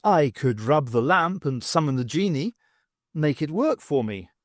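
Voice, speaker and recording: Man voicing a cool, expressive adventurous heroine. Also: fairly high pitch, measured pace, no echo, clean studio sound. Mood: cool defiance. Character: quick-witted adventurous heroine, cool and defiant.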